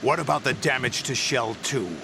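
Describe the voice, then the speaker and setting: Man as a gravelly, commanding villain leader. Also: mid-range voice, normal speed, natural voice. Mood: cold, commanding. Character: cold commanding terrorist leader, dismissive and calculating. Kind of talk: video game cutscene acting. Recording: noisy vehicle-like ambience behind.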